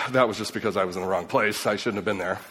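A man raised in Mexico and Ecuador, giving an emphatic speech to an audience, swinging between loud and quiet. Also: lowish voice, fast talker, slight background noise. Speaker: speaker. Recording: long hall reverb.

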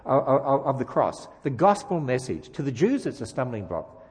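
A man with an Australian accent preaches as a guest speaker, mixing light jokes with emphatic rhetorical questions. His voice sits at a middle pitch. It carries through a church with a long, trailing reverb. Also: big pitch swings, normal speed, a little mellow, slightly rough. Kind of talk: sermon. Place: church sanctuary.

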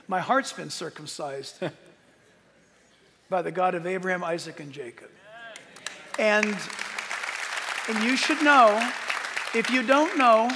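A man with a fairly high, creaky voice speaks as a Bible teacher to an audience. His delivery is earnest and emphatic, broken by dramatic pauses. Long reverb from a large hall trails his words. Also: bright, forceful tone, some chatter behind, a little hiss or hum. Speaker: Bible teacher and tour leader. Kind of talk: informal preaching talk to an audience.

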